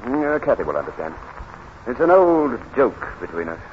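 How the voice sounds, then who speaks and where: An actor playing a brooding, bitter self-made gentleman in 1930s radio drama, with a sardonic, commanding manner. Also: mid-range voice, sing-song, moderate pace, lightly acted. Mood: cool, sardonic. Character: brooding, bitter gentleman risen from stable boy. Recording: noisy, muffled 1939 radio recording.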